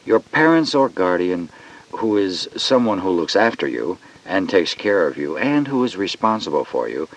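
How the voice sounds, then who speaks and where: A man reading scripted educational narration for children in a reassuring, instructive manner, on a vintage cassette recording. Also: sits low. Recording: distant-sounding vintage cassette.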